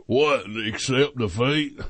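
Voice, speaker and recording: A man's voice: medium pitch, slow pace, a bit bright, slight background noise. A man playing a laid-back, bumbling, easily distracted technician in a comedic audio drama, rambling casually.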